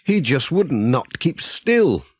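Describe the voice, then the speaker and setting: A kindly village postman from a children's storybook, played by a male reader. He speaks slowly with a lively rise and fall, gentle and patient, homely and a little bemused. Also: a little high, slightly rough, no echo, voice pushed up, heavily acted. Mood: weary. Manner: gentle, homely, mildly flustered.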